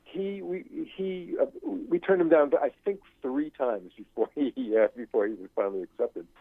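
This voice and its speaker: An older man giving an unscripted interview as a guest professor, halting and searching, often circling back over his phrases. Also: mid-range voice, no room sound. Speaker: guest, screenwriting professor and writer. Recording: thin phone-like line.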